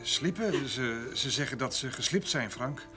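A grieving family man in a radio play, halting and consoling. The mid-pitched voice has a tense, bright edge, with music underneath. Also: animated. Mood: sorrowful, consoling. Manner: halting, subdued. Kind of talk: radio drama acting.

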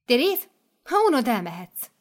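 A woman putting on a gushing high-voiced mother superior. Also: sing-song. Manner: gushing, effusive, commanding. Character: doting excitable older female mother superior.

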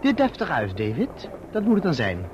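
An actor playing a cheerful, reassuring young gentleman in a radio play, on a dull, noisy old recording. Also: a little high, wildly swooping pitch, moderate pace.

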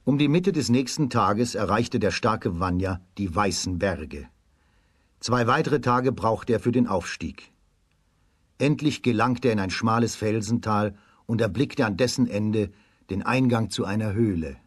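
A man narrating a scripted fairy tale for a children's radio play in a measured storytelling manner. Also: fairly low pitch, breathy edge, no room sound, clean studio sound.

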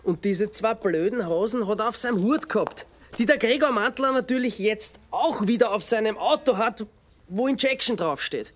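An actor playing an earnest, insistent amateur detective in a radio play. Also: male voice, sits high, animated, normal speed. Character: amateur sleuth man, dogged and earnest.

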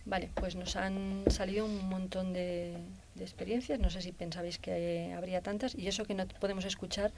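A woman hosting a radio round table, briskly prompting her guests and moving the talk along. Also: medium pitch, level tone, heavy background noise. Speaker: radio host. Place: radio studio.

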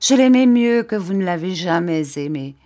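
A female narrator plays a scarred, embittered woman consumed by vengeful passion in a classic novel. She accuses and commands imperiously, hammering out her reproaches at a slow, deliberate pace. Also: fairly low pitch, darkish tone. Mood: fierce reproach. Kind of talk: dramatic audiobook acting of a classic novel.

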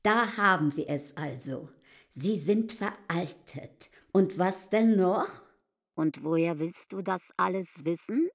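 A woman's voice: lowish voice, takes it slowly. An actress playing a haughty, suspicious inn landlady in a literary radio drama, talking in an imperious, probing, mocking way.

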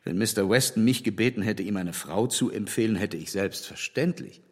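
An actor playing a frank, critical gentleman in a literary radio play, opinionated and wry in a formal way. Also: on the low side, moderate pace, lightly acted. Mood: dryly teasing. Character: frank, critical English gentleman, dryly teasing.

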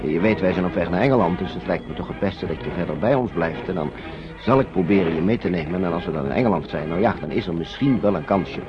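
Man acting a fast-talking, kindly wartime guardian. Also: low-pitched, music in the background, lightly acted. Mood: reassuring. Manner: coaxing, halting, avuncular. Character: kindly, protective man shepherding stray war refugee children. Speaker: radio play actor. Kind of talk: radio drama dialogue. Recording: dull, noisy old radio recording.

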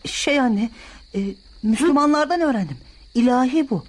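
A woman's voice: sits high, animated, moderate pace, in a large hall. A woman playing an innocent, earnest young boy in a Turkish radio play. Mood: timid. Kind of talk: Turkish radio theater acting.

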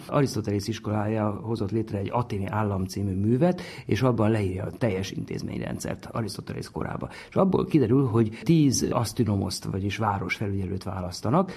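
A man's voice: fairly low pitch, creaky, a little mellow, husky. A man giving an informal, explanatory talk as an expert on the ancient world, stressing key words conversationally. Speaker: expert on classical antiquity.

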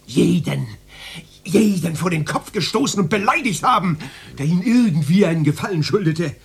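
Husky, swooping, self-pitying official. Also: male voice, high-pitched, expressive, normal speed, full character voice. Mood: bitter, sardonic. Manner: plaintive, dramatic complaining. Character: anxious, self-pitying, bitter male radio official. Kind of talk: German radio drama acting.

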